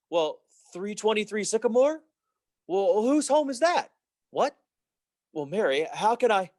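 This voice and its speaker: A man acts out a flustered young family man in a vintage radio play. His voice is high and swings widely, stammering earnestly through folksy exclamations. The sound is dry and close. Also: dry recording. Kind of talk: old-time radio play acting.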